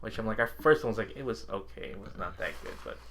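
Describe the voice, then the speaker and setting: A man's voice: on the low side, expressive, quick pace, a bit bright. A man co-hosting a podcast, chatting casually and informally about games and films, sometimes swearing or chuckling. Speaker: podcast co-host.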